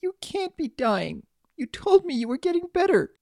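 Stubborn, high-pitched little pony filly. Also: wildly swooping pitch, normal speed, no room sound, clean studio sound. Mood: tearful disbelief. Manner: indignant, childlike, demanding. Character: stubborn, upset young female cartoon pony filly.

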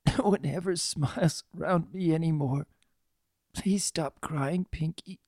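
A performer voicing a gentle, dying young male pegasus colt, breathless, weak and raspy, in a story reading. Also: mid-range voice, measured pace, dry recording, studio quality, voice pushed up. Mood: tender, pleading.

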